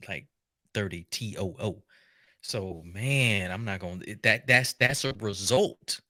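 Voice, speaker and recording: Bright-voiced man giving animated commentary. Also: lowish voice, unhurried, no room sound, clean studio sound. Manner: animated, joking, colloquial. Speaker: host reacting to a documentary. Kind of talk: casual unscripted commentary.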